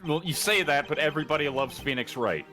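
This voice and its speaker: A man's voice: fairly high pitch, quick pace, bright, forceful tone. A man chatting casually and making joking commentary during a fan dub. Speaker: fan dub cast member. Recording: music behind the voice.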